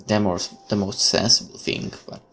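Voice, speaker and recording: A man's voice: on the low side, level tone, moderate pace, gravelly, a bit bright. A man reading a horror visual novel aloud as a let's player, with casual commentary of his own.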